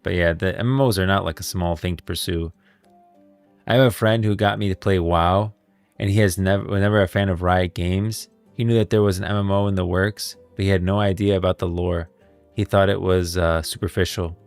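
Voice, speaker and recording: Low-pitched man with a gravelly creak. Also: steady tone, dry recording, soft background music. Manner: informal, halting, repeats phrases while thinking aloud. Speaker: streamer, host of a gaming lore stream. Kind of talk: casual livestream chat, reading posts aloud.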